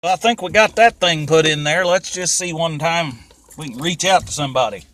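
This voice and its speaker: A man's voice: on the high side, fairly quick, noisy background. An American man with a folksy, rambling, colloquial way of talking, giving casual hands-on commentary as he does DIY car repairs.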